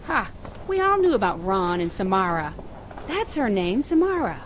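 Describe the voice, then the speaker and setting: A woman's voice: medium pitch, very animated, moderate pace, slight background noise, lightly acted. A woman playing a chatty, flirtatious nurse in her fifties, in conversational audio drama dialogue. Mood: playful, gossipy.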